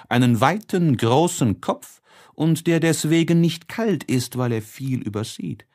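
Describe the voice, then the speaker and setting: A man reading literary prose as an audiobook narrator, expressively and with deliberate, measured phrasing. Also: medium pitch, darkish tone.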